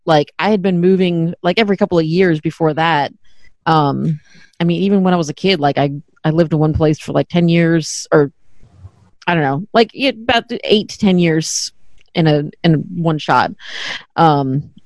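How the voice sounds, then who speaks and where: A woman's voice: low-pitched, moderate pace, darkish tone, no room sound, muffled recording. A middle-aged woman hosting a podcast in casual chat, candid and self-deprecating, swearing freely. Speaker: podcast host.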